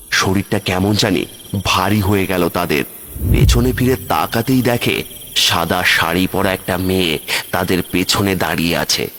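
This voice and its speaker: A man's voice: sits low, fairly bright tone, slight background noise. A man giving scripted narration for a cartoon horror story.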